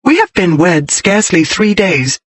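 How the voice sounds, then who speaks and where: A man voicing a spirited young noblewoman who is bold and defiant, speaking in a pert, insistent way with a husky tone. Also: high-pitched, sing-song, moderate pace, fairly bright tone, no echo, pitched above the performer's own voice, exaggerated voice. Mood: indignant. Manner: pert, insistent, rising to anger.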